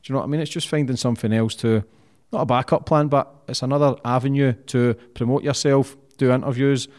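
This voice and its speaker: Blunt, encouraging podcast host. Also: male voice, mid-range voice, steady tone, quick pace, darkish tone. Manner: blunt, encouraging, swears freely. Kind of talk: casual interview chat.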